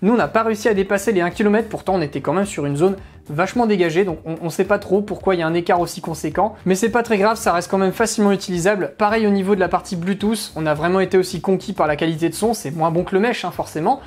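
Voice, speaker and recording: Fast-talking woman reviewing gear. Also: mid-range voice, a little mellow. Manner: informal, chatty. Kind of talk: casual explanatory product review narration.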